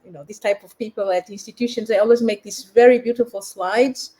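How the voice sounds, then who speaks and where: A woman's voice: a little high, fairly even intonation, a little fast, dark tone, low-quality recording. A woman from Portugal giving a research presentation in a webinar over a video call, speaking hesitantly with many fillers and restarts.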